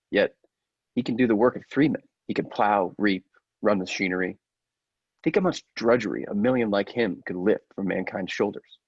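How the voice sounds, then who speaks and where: A man's voice: lowish voice, husky, no room sound. A man playing an inventor's earnest, persuasive assistant in an old-style radio play, with a theatrical, urging manner. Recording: dull lo-fi, dry sound.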